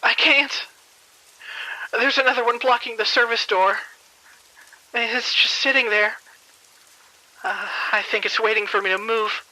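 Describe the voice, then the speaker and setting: Actress as terrified woman, tense and bright. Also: fairly high pitch, steady tone, rough recording. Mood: trapped, terrified. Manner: halting, panicked, breaking off mid-sentence. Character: terrified female hospital worker trapped with monsters. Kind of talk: audio drama phone-call acting.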